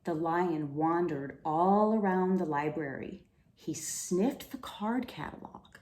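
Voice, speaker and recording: A woman reading a children's storybook aloud with expressive, dramatic delivery. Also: fairly low pitch, slow. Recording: roomy, echoing room sound.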